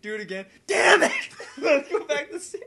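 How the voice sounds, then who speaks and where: A young man with a notably high, bright and forceful voice, talking as a podcast host among friends. He rambles casually and excitably, giggling through his stories and slipping into acted-out quotes. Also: normal speed. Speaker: podcast host and video maker.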